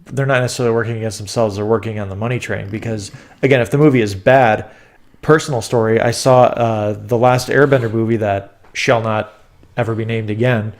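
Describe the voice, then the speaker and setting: A man's voice: on the low side, fairly even intonation, fairly quick. A man hosting a casual podcast chat while fighting a cold, nasal and stuffed up, rambling and restarting his sentences. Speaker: podcast host.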